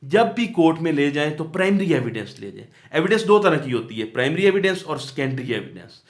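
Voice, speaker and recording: A man's voice: fairly high pitch, big pitch swings, a little fast. A man with a Pakistani accent giving an explanatory law lecture, reading out definitions and explaining them, often asking and answering his own questions. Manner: switches between Urdu-Hindi and English, reading definitions then explaining them.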